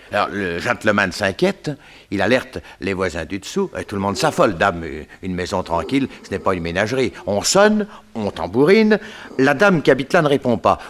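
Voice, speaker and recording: An actor playing a fussy, excitable, garrulous coroner in a French radio play, full of theatrical asides. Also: male voice, fairly low pitch.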